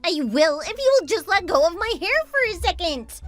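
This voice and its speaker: Woman acting a spoiled, whiny sister-in-law, high-pitched. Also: normal speed, close to an everyday voice. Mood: pained, panicked. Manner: haughty, demanding, whiny. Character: spoiled, entitled, scornful young sister-in-law, shrieking and whining. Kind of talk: dramatized story narration acting.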